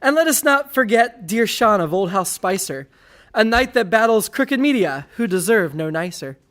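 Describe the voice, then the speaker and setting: A man's voice: high voice, expressive, moderate pace, fairly bright tone. A man performs comic poetry with mock grandeur. He declaims each rhyming line as satire, reading it out in the formal style of an ode. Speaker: comedy poet and performer.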